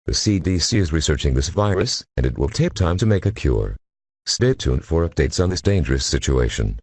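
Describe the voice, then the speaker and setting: A man's voice: a bass voice, very animated, fairly quick, husky. A man reading a scripted emergency broadcast warning as a formal, impersonal announcer.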